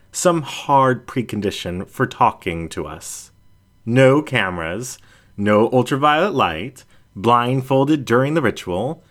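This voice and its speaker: A man reads a first-person story aloud in a low voice. His intonation swings widely, and his phrasing is deliberate and emphatic, in the manner of an audiobook narrator. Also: fairly bright tone. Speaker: audiobook reader.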